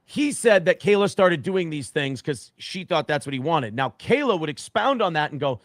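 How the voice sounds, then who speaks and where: A man's voice: fairly high pitch, animated, moderate pace, no room sound, studio quality. A man in a played clip telling a story he heard firsthand, repeating and correcting himself as he goes. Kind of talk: casual stream talk.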